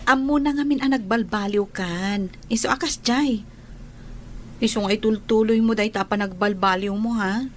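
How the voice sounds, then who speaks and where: A woman with a Filipino accent acting a gentle, modest young maiden being courted in a radio drama. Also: medium pitch, moderate pace, slight background noise, close to an everyday voice. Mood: questioning, cautious.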